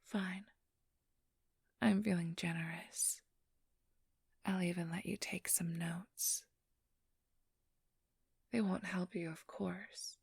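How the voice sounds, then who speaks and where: A woman's voice: fairly low pitch, fairly even intonation, gravelly, a little mellow, husky, no room sound, exaggerated voice. A woman playing a haughty, teasing, ageless vampire thief in an ASMR role-play, whispering breathily and condescendingly close to the microphone. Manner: condescending, teasing, drawn-out delivery. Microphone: close, dry microphone.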